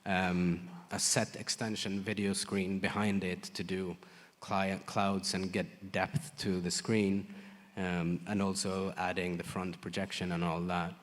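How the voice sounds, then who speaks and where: A man who works as a lighting designer, answering audience questions in a halting way with many fillers and restarts. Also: low voice, little pitch movement. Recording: long hall reverb.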